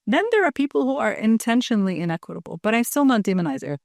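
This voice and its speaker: A woman educator in an interview, talking fast and earnestly, explaining her ideas through examples. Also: on the high side, sing-song, no echo, clean studio sound. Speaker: guest educator. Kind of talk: conversational interview.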